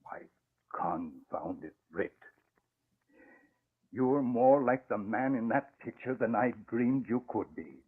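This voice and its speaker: An elderly, proud father speaks with theatrical fervor in a 1940s radio drama. His voice swings widely in pitch and has a slightly rough, unclean edge. The recording is thin and muffled, like an old broadcast. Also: animated, slow pace, long pauses. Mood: proud, moved. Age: older. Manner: impassioned, dramatic delivery. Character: emotional proud old father, retired crime-fighting newspaper owner.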